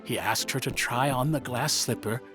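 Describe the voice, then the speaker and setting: A man narrating a scripted children's fairy tale in an expressive, dramatic storytelling manner. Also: medium pitch, moderate pace. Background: music.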